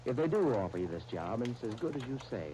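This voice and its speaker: An actor playing a wise, loyal town doctor giving measured, folksy counsel in an old-time radio drama. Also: male voice, medium pitch, big pitch swings, background noise, lightly acted. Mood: firm, advising.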